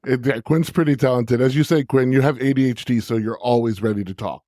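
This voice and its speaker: A man's voice: medium pitch, a little fast, dark tone, no echo, studio quality. Upbeat man guesting on a podcast. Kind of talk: casual podcast chat.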